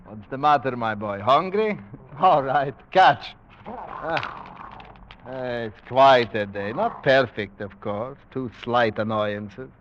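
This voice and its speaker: A gloating aristocratic hunter-general, genteel and cruel. His theatrical delivery is very unhurried and suave. It comes through a muffled old radio broadcast with faint hiss. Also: medium pitch, a bit bright. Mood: playful, doting. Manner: suave, theatrical, deliberate phrasing. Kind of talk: 1940s radio acting. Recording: dull, faintly hissy 1940s radio recording.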